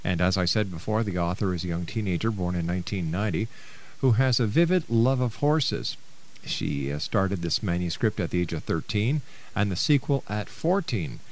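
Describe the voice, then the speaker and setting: Guy hosting a radio interview show, voice on the low side. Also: expressive, normal speed. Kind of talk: radio interview, partly reading a book synopsis.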